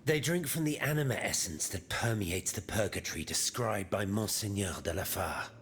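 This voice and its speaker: A man voicing a grave, learned and devout inventor in a video game, solemn and deliberate. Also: on the low side, animated, slight background noise, natural voice. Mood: grave, troubled. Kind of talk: video game cutscene acting.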